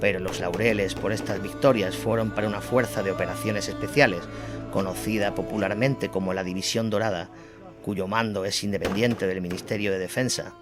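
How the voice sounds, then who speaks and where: Low-voiced Spanish man narrating over music. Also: fairly even intonation, fairly quick. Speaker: narrator of an audio drama. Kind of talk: scripted documentary war narration. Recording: music bed under the voice.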